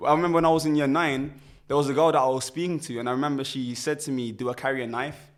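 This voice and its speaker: Young man, mid-range voice, relaxed, reflective, restarts often. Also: mid-range voice, quick pace. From Birmingham, England. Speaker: guest, musician. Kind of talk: casual interview.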